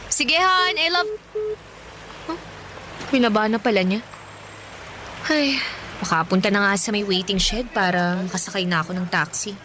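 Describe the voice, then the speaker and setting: A woman's voice: mid-range voice, normal speed, long pauses, pushed and bright, slight background noise, natural voice, poor audio. An actress playing a torn, evasive young engaged office woman in a Filipino radio drama. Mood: affectionate. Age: young adult.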